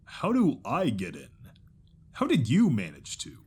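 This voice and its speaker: A man voicing a big, gruff, protective pony companion with a husky voice and a deadpan, grumbling manner. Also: medium pitch, measured pace, exaggerated voice. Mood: puzzled.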